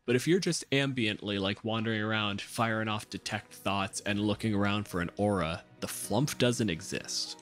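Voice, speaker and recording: A man's voice: on the low side, moderate pace, hardly any pauses, fairly bright tone, soft background music. A man chatting casually as a podcast panelist, analytical and opinionated in the way he talks. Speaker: podcast panelist discussing tabletop game monsters. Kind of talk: casual chat.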